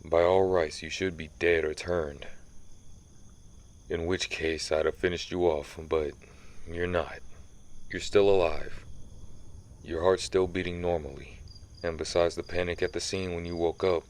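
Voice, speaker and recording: Man playing a very deep-voiced, stern ancient vampire hunter. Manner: slow, menacing, interrogating. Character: centuries-old male vampire hunter who is a vampire, stern, commanding. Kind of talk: scripted ASMR audio roleplay.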